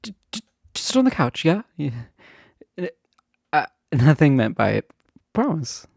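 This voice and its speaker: Man playing a slow, mellow, flirty college guy. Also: a little high, big pitch swings, vocal fry, no echo, clean studio sound, heavily acted. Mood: inviting, shy.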